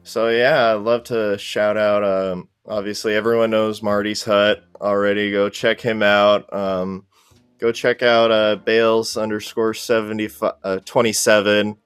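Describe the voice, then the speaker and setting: A man's voice: fairly low pitch, level tone, measured pace, clear, resonant tone, dry recording, soft background music. A man chatting casually as a podcast guest, laid-back and agreeable. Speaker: podcast guest, cosplay content creator. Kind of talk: casual chat.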